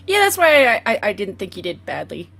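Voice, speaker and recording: A woman co-hosting a casual talk stream, opinionated and quick to joke. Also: mid-range voice, huge pitch swings, creaky, a bit bright, no room sound. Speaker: podcast co-host. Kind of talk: casual chat.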